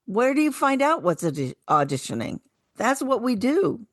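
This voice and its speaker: A woman's voice: a little high, very animated, moderate pace, dry recording. Older woman, dry close radio sound. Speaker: radio co-host and retired actress. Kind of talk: casual radio talk.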